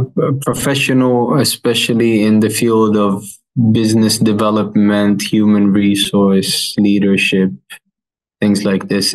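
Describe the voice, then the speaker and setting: A man in his thirties from Finland, answering interview questions thoughtfully and pausing often with fillers. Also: fairly low pitch, low-quality recording. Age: 30s. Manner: thoughtful, with many fillers and restarts. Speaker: guest.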